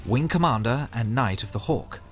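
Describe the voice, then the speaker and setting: An actor playing a calm, courteous knight in a fantasy audio drama, speaking in an earnest, measured way. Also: male voice, medium pitch, soft background music, natural voice. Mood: resigned, apologetic. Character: calm, courteous male knight and dragon rider.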